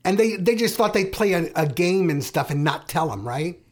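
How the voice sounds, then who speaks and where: A man's voice: sits high, expressive, normal speed. A man hosting a radio talk show, chatty and joking with his guests. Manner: jokey, chatty interviewer. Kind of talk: casual chat.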